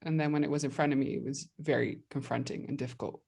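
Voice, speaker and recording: Woman podcast host with a mid-low, informal delivery. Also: steady tone, fast talker, vocal fry, dark tone, husky. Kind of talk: conversational, personal interview talk.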